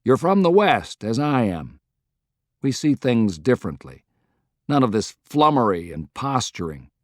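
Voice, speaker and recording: A man's voice: mid-range voice, very animated, unhurried, no echo, studio quality. Man voicing a folksy, emphatic American president. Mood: fed up. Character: plain-spoken, weary, folksy male American president.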